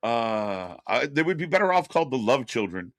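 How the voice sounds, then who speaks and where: Laughing wisecracking man, animated voice. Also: mid-range voice, normal speed, no echo, clean studio sound. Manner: laughs a lot, wisecracking, swears. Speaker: co-host of a live wrestling watch-along. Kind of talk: casual live-stream commentary.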